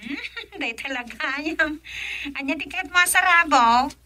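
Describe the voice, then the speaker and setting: Radio drama casting: chirpy young Ilocano city worker, high and piercingly bright voice. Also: female voice, animated, a little slow, exaggerated voice. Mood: bright, coy. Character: chirpy young woman working away in the city.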